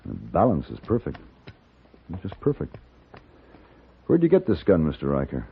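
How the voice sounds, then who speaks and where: An actor playing a steady, courteous American gunfighter in a radio western, speaking and narrating in a measured, gentlemanly way. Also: male voice, full character voice. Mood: probing, concerned. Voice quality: deep, gravelly. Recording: muffled narrow-band old radio, faint hiss.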